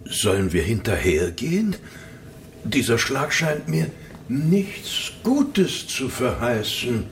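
An actor playing a wary, suspicious townsman with a measured manner in a radio drama. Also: mid-range voice, big pitch swings, a little hiss or hum. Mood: apprehensive. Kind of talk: German radio drama acting. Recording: faint background ambience.

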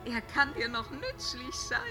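High, unhurried magical forest bird. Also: female voice, huge pitch swings, over music, full character voice. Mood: knowing counsel. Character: talking forest bird, playful female counselor. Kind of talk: German fantasy radio play acting.

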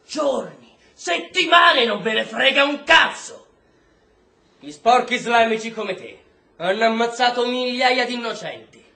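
Man acting a brutal high-pitched, sharp-voiced interrogator. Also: vocal fry, heavily acted. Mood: cold threat. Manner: barking, foul-mouthed, threatening. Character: brutal, menacing male prison interrogator hounding a detainee. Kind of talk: intense theatrical stage acting. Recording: roomy, distant stage recording, faint noise.

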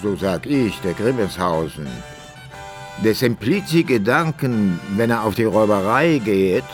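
Narrator-author for period radio drama; low register, literary, emphatic storytelling over soft music. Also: male voice. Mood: wry, storytelling. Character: old-time male author narrating his hero's adventures.

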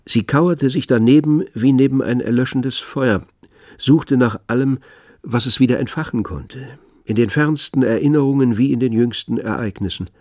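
A man narrating a radio play in a measured, grave, literary style. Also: medium pitch, a little mellow, no echo, studio quality.

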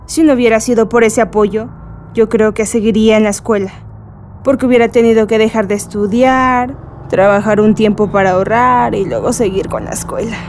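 Woman acting a playful young Mexican woman, high voice. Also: fairly even intonation, a little mellow, a little hiss or hum, close to an everyday voice. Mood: excited, hopeful. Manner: playful, teasing, quick to laugh. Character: cheeky, excited young woman graduate coming home. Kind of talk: radio drama acting.

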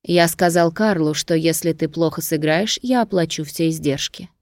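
A man's voice: on the high side, moderate pace, no room sound, clean studio sound, natural voice. Woman narrator as a domineering rich Greek man. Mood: persuasive. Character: domineering wealthy Greek lover, possessive and impatient.

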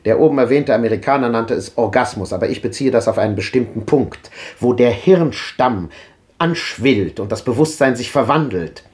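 An actor playing an impassioned old philosopher. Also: male voice, medium pitch, lively intonation, moderate pace, darkish tone, close to an everyday voice. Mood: declaiming. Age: older. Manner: declamatory, impassioned, rhetorical. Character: old man, fervent visionary philosopher. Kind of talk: 1950s radio drama acting.

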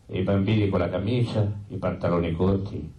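The recording is echoey and distant from the microphone. A man with a deep voice delivers a theatre monologue as narrator. His storytelling is solemn and deliberate. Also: fairly even intonation, muffled recording.

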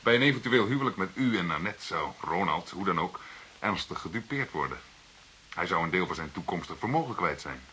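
Low, lively, insinuating detective. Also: male voice, brisk. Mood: accusing, controlled. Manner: pointed, insinuating questioning. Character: shrewd, insinuating male junior police detective, pointedly confrontational. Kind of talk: Dutch radio drama dialogue. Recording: hissy old radio drama recording.

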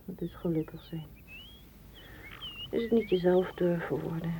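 An actress playing a solitary, dreamy woman in a radio drama, speaking in a hushed, poetic way. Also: on the low side, a little slow, a little hiss or hum. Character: solitary, dreamy, independent woman longing for open space. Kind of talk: literary radio drama acting.

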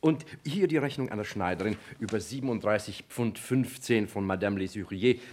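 An actor playing a diligent police inspector in a German radio play, slightly husky, reporting facts with small hesitations and repeated affirmations. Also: male voice, fairly low pitch, moderate pace, a little mellow, natural voice. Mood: dutiful, businesslike. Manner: hesitant fillers, repeats his affirmations, matter-of-fact.

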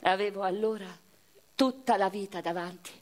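An actress playing a passionate, literary eighteenth-century noblewoman, telling her life in an expressive, reflective radio-theatre monologue. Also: mid-range voice, normal speed, natural voice. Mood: mournful, reflective. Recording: music behind the voice.

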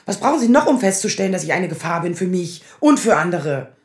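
A low-pitched woman in her thirties, a sharp-tongued and anxious lawyer in a radio drama. She is sarcastic, defensive and combative. The sound is roomy, with clear echo. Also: sing-song, fast. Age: 30s. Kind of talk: naturalistic radio drama acting.

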